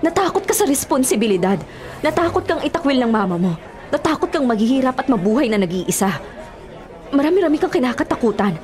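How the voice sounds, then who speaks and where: A woman's voice: high voice, big pitch swings, fast talker, a little mellow. A woman playing a firm, reproachful young married mother rebuffing her ex in a radio drama. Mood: indignant, resolute. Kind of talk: Filipino radio drama acting. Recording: music bed and crowd noise behind. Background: music, busy crowd sounds.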